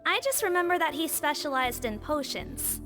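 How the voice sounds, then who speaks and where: A woman's voice: high voice, expressive, moderate pace. A woman voicing a polite nonhuman female officer who explains things carefully, from a video game. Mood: informative. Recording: game audio with faint music.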